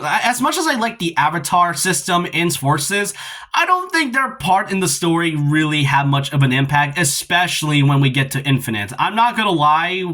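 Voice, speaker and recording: A man's voice: sits high, bright, forceful tone. A man giving casual, rambling opinion commentary on a video game in a conversational, critical way.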